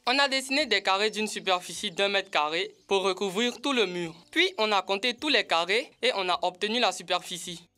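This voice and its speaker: A woman's voice: medium pitch, expressive, a little fast, hardly any pauses, bright, forceful tone, soft background music. A woman voicing a plain-spoken, teasing young friend in a French children's cartoon. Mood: interested.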